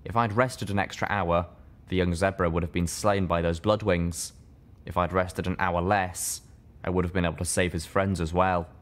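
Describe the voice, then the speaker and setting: A man's voice: low voice, little pitch movement, normal speed. A man reading a scripted first-person story aloud as its narrator. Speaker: fan fiction reader, narrator.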